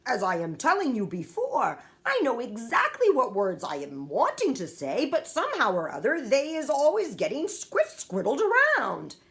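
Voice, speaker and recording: A woman's voice: sits high, wildly swooping pitch, normal speed, hardly any pauses, fairly bright tone, pitched above the performer's own voice, exaggerated voice. A woman voicing a big, kindly male giant who jumbles his words, in a storybook reading. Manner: jumbled made-up words, eager.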